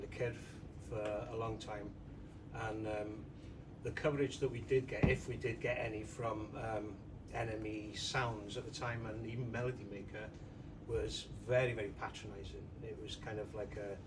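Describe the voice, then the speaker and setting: A man's voice: lowish voice, level tone, normal speed. A man from North Wales, a musician on a panel, recalling the past in a hesitant way that often trails off. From Conwy Valley, North Wales. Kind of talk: casual anecdotes on a panel. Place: bookshop event room. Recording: distant mic with room noise.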